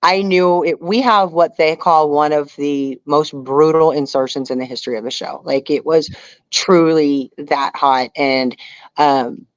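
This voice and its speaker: A woman's voice: sits low, steady tone, a little slow, no echo. Candid woman storyteller on a dull, dry connection. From Houston, Texas area. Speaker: interview guest, outdoorswoman and reality show contestant. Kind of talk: casual storytelling in an interview.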